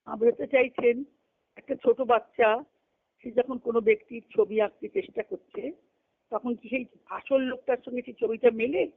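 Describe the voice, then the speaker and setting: A woman's voice: on the high side, steady tone, moderate pace, gravelly. A woman reading a spiritual text aloud and explaining it, repeating and rephrasing as she goes, on a phone conference call. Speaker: spiritual discussion leader. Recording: muffled phone-line conference call audio.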